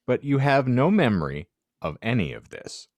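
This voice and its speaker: A man co-hosting a casual podcast, bantering and reading aloud with deliberate emphasis and joking asides. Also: medium pitch, big pitch swings, a little mellow, dry recording. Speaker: podcast co-host.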